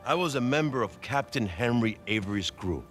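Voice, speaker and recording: A man's voice: medium pitch, animated, brisk, a bit bright, faint music behind, lightly acted. A man playing a corrupt, greedy prison boss in a video game cutscene, menacing and sardonic, with a Latin American Spanish accent. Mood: smug.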